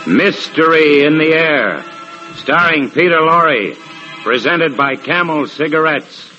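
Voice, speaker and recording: A man's voice: a little high, animated, takes it slowly. A man announcing a mystery show in dramatic 1940s radio style. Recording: dull old radio recording, music behind.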